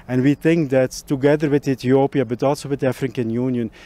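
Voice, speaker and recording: A man's voice: mid-range voice, fairly even intonation, brisk, mellow. A man from Belgium, a diplomat, giving an interview statement with many hesitations. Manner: hesitant, with fillers.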